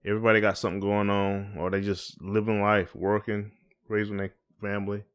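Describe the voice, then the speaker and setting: A man's voice: fairly low pitch, little pitch movement, a little fast, clear, resonant tone. A middle-aged American man hosting a podcast, chatting in a colloquial, reflective, conversational way. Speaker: podcast host. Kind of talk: casual chat.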